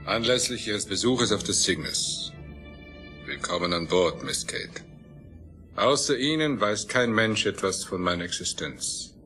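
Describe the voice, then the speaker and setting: An actor playing a grandiose, obsessive middle-aged scientist and starship commander in an audio drama, speaking in a lofty, theatrical, self-assured manner. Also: male voice, fairly low pitch, animated, unhurried, bright, forceful tone. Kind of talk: dramatic audio play acting. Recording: music behind the voice.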